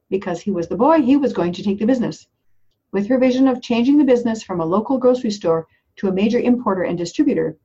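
Husky woman hosting a podcast. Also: medium pitch, warm tone. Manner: measured, searching questions with fillers. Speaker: podcast host. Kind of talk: reading an intro, then casual interview questions. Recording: dull lo-fi recording.

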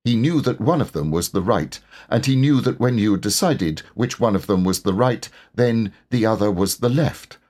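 A man reading a children's storybook aloud as an expressive, scripted narrator. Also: on the low side, normal speed, few pauses. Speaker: storybook reader.

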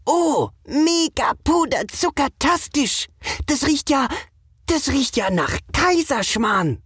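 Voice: high voice, very animated, slow, a bit bright, no room sound, studio quality, pitched above the performer's own voice, full character voice. A narrator voicing an eager, boastful young superhero who gushes and gets flustered and stammers, in a children's audio story. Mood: delighted. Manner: exuberant, stammering, invents gushing super-words.

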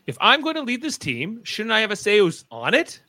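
A man's voice: high voice, wildly swooping pitch, a little fast, pushed and bright, no echo, exaggerated voice. A man acting an uptight, stern young superhero team leader in a live radio play, commanding and insistent.

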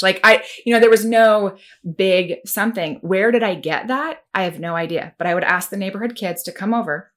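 A woman hosting a casual conversation speaks with enthusiasm and a reflective bent. Her voice sits a little low for a woman. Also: fairly bright tone. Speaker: host. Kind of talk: casual chat.

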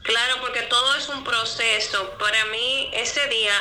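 A woman's voice: fairly high pitch, steady tone, normal speed, background noise. A woman, a guest in an interview, reflecting on her life in an earnest way.

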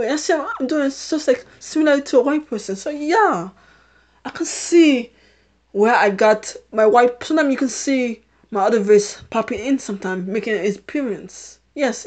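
A middle-aged woman from Haiti hosts her own podcast, with an accent that sounds British rather than Haitian. Her voice sits a little high. She rambles, circles back over the same points and laughs often. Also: darkish tone. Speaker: podcast host. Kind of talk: casual solo podcast monologue.

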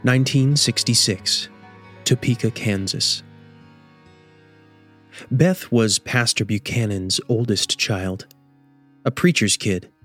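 A man's voice: fairly low pitch, a bit bright. A man reading scripted story narration as the narrator of an audio drama.

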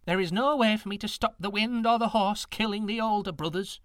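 A man's voice: high-pitched, animated, measured pace, bright, forceful tone, no room sound, studio quality, voice pushed up, heavily acted. A man voicing a very old, wise woman who speaks in a prim, scolding, solemn way. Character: hundred-year-old wise woman, stern and sorrowful.

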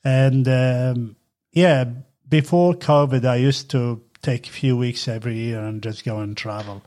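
A middle-aged man with a husky voice, chatting casually as a podcast host, halting with fillers. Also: mid-range voice, fairly even intonation, a little mellow. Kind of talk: casual chat.

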